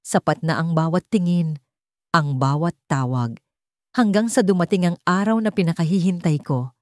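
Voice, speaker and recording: A woman narrates a first-person love story in a low, soft-edged voice. The tone is dark and mellow, and the recording is close and dry, as scripted storytelling. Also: moderate pace, no room sound.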